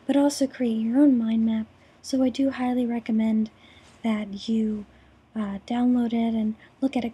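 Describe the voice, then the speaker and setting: A young woman with a hoarse, raspy voice after throat surgery, presenting her course project over a screen recording with many ums and restarts. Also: on the high side, warm tone. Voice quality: raspy, breathy.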